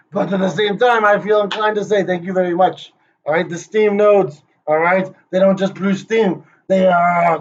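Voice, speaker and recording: A man rehearsing a playful conference presentation, joking, hyping up and teasing the people he names. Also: sits high. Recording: dull lo-fi recording.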